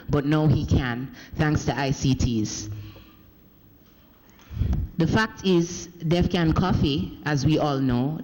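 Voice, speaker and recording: A man with a Jamaican accent delivering a formal speech in a parliament chamber, measured, often restarting and correcting himself as he goes. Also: on the high side, a little mellow. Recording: echoey parliament hall.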